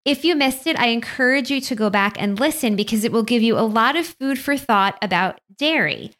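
A woman's voice: a little high, animated, fairly quick, fairly bright tone. A woman hosting a parenting podcast, reading a scripted introduction in a friendly, conversational way and then interviewing a guest. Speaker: podcast host.

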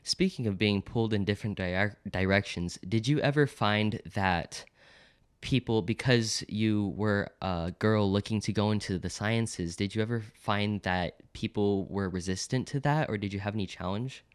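A man's voice: on the low side, moderate pace, smooth, no echo. A man hosting an interview, asking questions in an engaged, conversational way.

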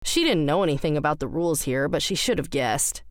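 A woman's voice: low-pitched, animated, fairly quick, no room sound, studio quality. A woman reading scripted fiction as the narrator of an audio drama.

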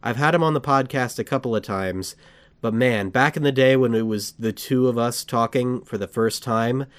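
A male podcast host talking casually in a mid-range voice. He rambles, corrects himself and gets excited. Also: mid-range voice, normal speed, clear, resonant tone.

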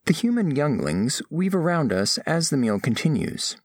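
A man's voice: fairly high pitch, sing-song, moderate pace, a little hiss or hum. A man playing a formal, curious alien scholar who narrates an audio drama in precise, clinical wording. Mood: detached curiosity. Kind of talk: scripted first-person story narration.

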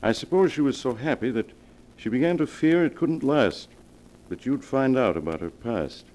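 An actor playing a grave family doctor in 1940s radio melodrama, solemn, measured and authoritative. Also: male voice, mid-range voice, lively intonation, a little mellow, lightly acted, poor audio. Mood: regretful, explaining. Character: grave, controlled family doctor breaking bad news. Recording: vintage 1940s radio broadcast.

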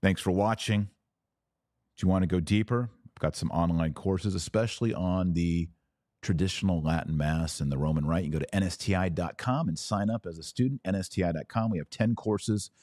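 Male podcast host, low register, dry sound. Emphatic, deliberate religious commentator. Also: a little mellow, no echo. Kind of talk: religious commentary with read-aloud passages.

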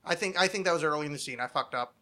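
A man's voice: on the high side, very fast, sharp tone. An American man hosting a podcast with casual, rambling comedic chat, self-deprecating and freely swearing. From United States. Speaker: podcast host.

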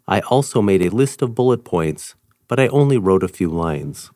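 A man giving a scripted reading of a first-person article in a measured, even tone. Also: lowish voice, no echo, clean studio sound. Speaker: reader.

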